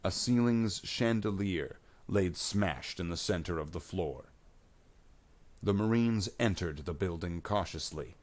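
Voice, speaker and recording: A man's voice: deep voice, a little slow, darkish tone. A man reading scripted science fiction in an audiobook style, narrating plainly without putting on character voices.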